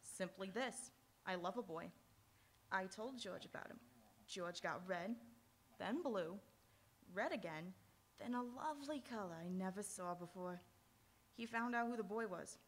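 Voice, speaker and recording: A woman's voice: medium pitch, a little slow, long pauses, a little hiss or hum, natural voice. A woman playing a brazen, bitter young noir suspect in a live radio drama, frank and coolly callous. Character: cool, bitter, brazen female murder-victim's sister.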